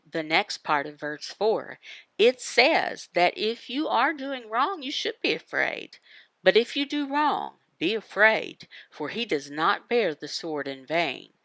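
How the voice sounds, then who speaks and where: A woman giving a devotional Bible teaching in emphatic, halting phrases, asking questions and answering them. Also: mid-range voice, a bit bright, no room sound. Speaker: voice interpreter for a signed devotional. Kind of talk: devotional Bible teaching, voiced from sign language.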